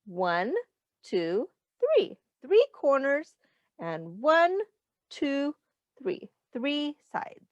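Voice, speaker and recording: Casting: female early-years teacher, slow and very expressive, doing an interactive children's read-aloud. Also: high voice, no echo, highs cut off. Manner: instructive, explains in simple words, repeats and counts aloud; addresses children directly, pausing for their answers. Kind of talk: children's read-aloud with questions to young listeners.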